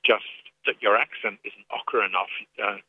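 A man with an English accent, speaking as an activist guest in a radio phone interview, earnest and measured with frequent restarts. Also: fairly low pitch, creaky, husky, dry recording. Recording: tinny phone line.

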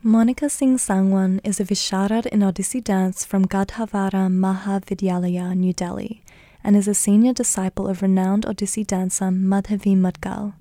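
Even-toned, mellow woman radio presenter. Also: medium pitch, moderate pace, no room sound, studio quality. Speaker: radio host and interviewer. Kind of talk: scripted current affairs radio narration.

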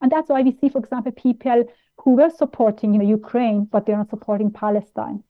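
A woman from Iran, raised in Austria, speaking as an interview guest in a halting way with many fillers and restarts. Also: on the high side, steady tone. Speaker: guest, plant-based nutritionist. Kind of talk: interview conversation. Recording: tinny phone-like line.

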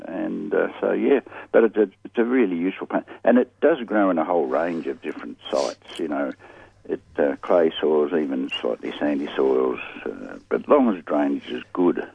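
Croaky low-voiced man. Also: animated, moderate pace, highs cut off, slight background noise. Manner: rambling, many fillers and restarts. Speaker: horticulture expert guest. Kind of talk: casual radio chat.

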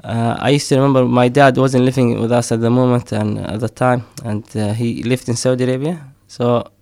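Man, middle-aged, gravelly, dry close sound, hesitant with fillers. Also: mid-range voice, level tone, a little fast, darkish tone, no echo. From Somaliland. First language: Somali. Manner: hesitant, many fillers, frequent laughs. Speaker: poet and storyteller. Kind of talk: casual interview talk.

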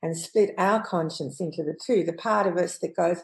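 A woman giving her view as an expert guest, halting and thinking aloud. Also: on the low side. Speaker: psychologist guest. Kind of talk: reflective interview talk.

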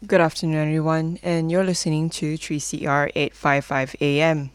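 A young woman hosts a community radio music show in a casual presenting style. She is from Malaysia, and her voice is clean and smooth. The sound is very dry, with hardly any room around it. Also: low voice, level tone, moderate pace, no room sound. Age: young adult.

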